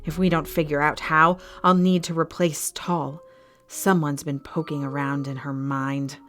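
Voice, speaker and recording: A low-pitched, commanding female arena master played by a woman in an audio drama. Her delivery is formal and clipped, with a cold, suspicious and ruthless edge. Soft music runs underneath. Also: level tone, unhurried.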